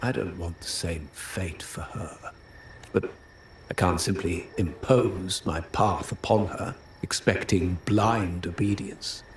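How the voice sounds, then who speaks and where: An actor playing a suave, philosophical gentleman in a video game, speaking in measured, poetic phrases. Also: low-pitched. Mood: grave, reflective. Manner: measured, poetic, smooth-mannered. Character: suave, philosophical gentleman with veiled protective intent. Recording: roomy echo, faint background noise.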